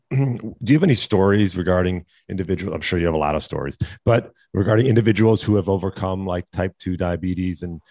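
A man interviewing a guest, hoarse and losing his voice, asking halting questions full of pauses. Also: low-pitched, mellow, no room sound. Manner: halting, with many pauses and fillers. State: losing his voice, clearing throat.

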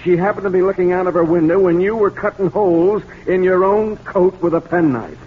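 Gruff, high-toned American police sergeant. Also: male voice, steady tone, brisk. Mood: brusque. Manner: brusque, authoritative. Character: gruff, skeptical police sergeant with a soft streak. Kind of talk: 1940s radio drama acting. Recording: dull, noisy 1940s radio recording.

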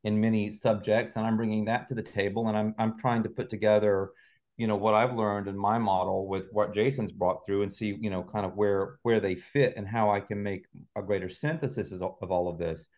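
Guy being interviewed, lowish voice, explains stuff carefully like a teacher. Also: fairly even intonation, a little mellow. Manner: explanatory, deliberate, qualifies his points carefully. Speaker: interview guest, author and teacher. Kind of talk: conversational explanation.

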